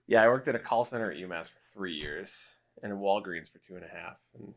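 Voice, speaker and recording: A man's voice: sits low, quick pace, gravelly. A man of thirty co-hosting a podcast, talking casually in a laid-back, wry way and laughing often. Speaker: podcast co-host.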